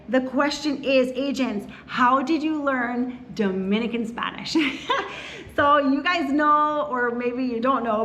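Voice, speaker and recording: A woman's voice: sits high, a bit bright, slight background noise. An American woman from the Philadelphia area hosting a casual chat, talking in a chatty, upbeat way with many fillers. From United States, Philadelphia area. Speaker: real estate host.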